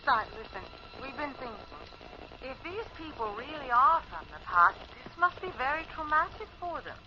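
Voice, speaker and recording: An actress playing a gentle, curious spaceship radio operator in a radio drama, with a radio filter on the voice. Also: female voice, high voice, lively intonation, moderate pace. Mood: concerned. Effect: radio transmission filter. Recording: muffled, noisy radio transmission.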